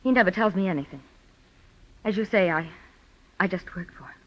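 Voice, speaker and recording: An actress playing a cool, coy femme fatale who assists a psychic, in a 1940s radio drama. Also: female voice, on the low side, brisk, close to an everyday voice. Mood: matter-of-fact. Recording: dull old radio recording.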